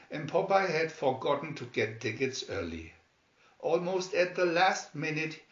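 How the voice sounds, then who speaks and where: A man's voice: medium pitch, a bit bright. A man narrating a children's cartoon story from a script, as a storybook reader. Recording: dull, roomy home recording.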